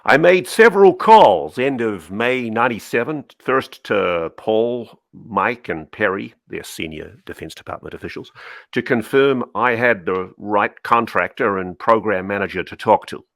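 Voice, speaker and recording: A man's voice: on the low side, animated, measured pace, creaky, fairly bright tone, no room sound, heavily acted. A man playing a guarded senior admiral in a dramatic reading, recounting secrets in clipped phrases. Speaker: co-host reading a part. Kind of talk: dramatic cold reading of a memo transcript.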